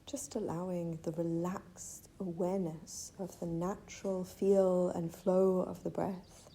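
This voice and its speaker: Slow, mellow woman guiding meditation. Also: fairly low pitch, little pitch movement. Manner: gentle, measured, with long pauses. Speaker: meditation teacher. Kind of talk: guided meditation. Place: retreat venue. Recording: faint background ambient noise.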